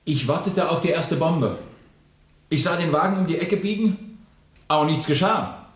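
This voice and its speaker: An actor playing an agitated, earnest revolutionary in a radio play, speaking with troubled urgency. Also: male voice, on the high side, normal speed, lightly acted. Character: agitated, earnest male revolutionary bomb thrower. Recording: echoey room, off mic.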